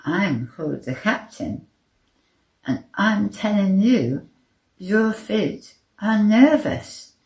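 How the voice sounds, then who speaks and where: A woman voicing a tiny, brisk and bossy male captain in a children's story, commanding yet reassuring. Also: medium pitch, animated, a little mellow, reverberant. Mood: matter-of-fact.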